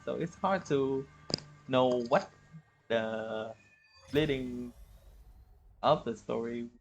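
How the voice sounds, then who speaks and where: A man giving casual livestream commentary on a game, with halting, hesitant phrasing. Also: mid-range voice, vocal fry. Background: game music.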